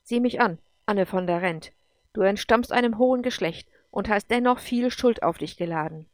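Woman playing a loud, wrathful celestial judge. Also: mid-range voice, normal speed, dry recording, lightly acted. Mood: stern, condemning. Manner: stern, commanding, loud pronouncements. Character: wrathful imperious celestial judge from a star circle.

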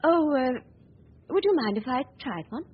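A woman's voice: high-pitched, lively intonation, a little slow. A poised young lady. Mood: composed. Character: poised young woman, the victim's sister. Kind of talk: 1940s radio acting. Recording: dull lo-fi 1940s radio recording with faint hiss.

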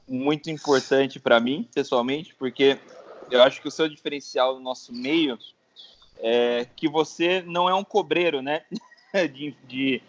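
A man's voice: medium pitch, measured pace. A man hosting a podcast, enthusiastic, laughing often and tripping over his words. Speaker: podcast host. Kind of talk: casual interview chat. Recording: dull lo-fi sound with faint noise.

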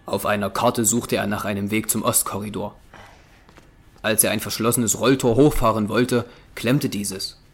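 Low-voiced male horror narrator. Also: fairly even intonation, moderate pace, slightly rough. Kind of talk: scripted narration of a horror audio drama.

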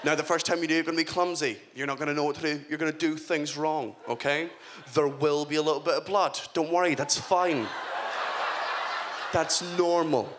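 A man's voice: a little high, steady tone, brisk, noisy background, close to an everyday voice. A young man playing his blunt, middle-aged father giving an awkward talk in a matter-of-fact tone. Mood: earnest advice.

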